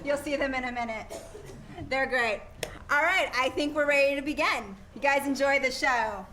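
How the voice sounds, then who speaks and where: Bright, high-voiced woman hosting on stage. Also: moderate pace, slight background noise. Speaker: director and host of live radio play. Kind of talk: addressing audience, giving stage cues.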